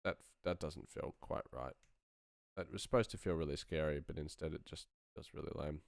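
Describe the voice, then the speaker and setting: Low-voiced man chatting casually on a stream. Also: steady tone, normal speed, gravelly. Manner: informal, enthusiastic, thinking aloud. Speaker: sound designer streaming a live work session. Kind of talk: casual livestream chat and tutorial.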